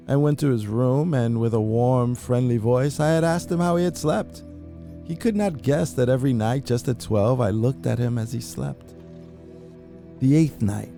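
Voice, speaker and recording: Gravelly, dark-voiced man reading horror. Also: mid-range voice. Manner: intense, brooding delivery with sudden hushed drops and rising outbursts. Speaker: reader. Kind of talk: dramatic reading of a classic horror story.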